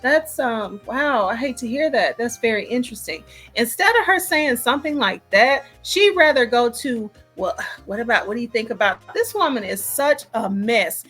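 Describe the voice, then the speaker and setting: A woman's voice: a little high, lively intonation, moderate pace, hardly any pauses, a bit bright, slightly rough. Woman giving emphatic casual commentary, music behind. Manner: emphatic, disapproving, repeats points for emphasis. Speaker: host reacting to a recorded call.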